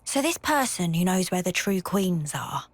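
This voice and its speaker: A woman's voice: on the low side, very animated, normal speed, dry recording. An actress voicing a brash, casual young female soldier in a video game cutscene. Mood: casual, musing.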